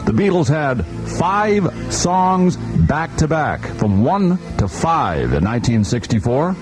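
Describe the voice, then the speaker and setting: A man's voice: on the high side, big pitch swings, slow pace. A man hosting a morning radio show with upbeat DJ patter. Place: radio studio. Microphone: studio broadcast microphone. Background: music bed.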